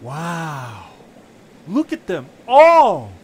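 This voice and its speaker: A man's voice: fairly high pitch, wildly swooping pitch, extremely slow, slightly rough, pitched above the performer's own voice, exaggerated voice. A man voicing an eager, curious young creature who leads an adventuring group in an amateur audio drama.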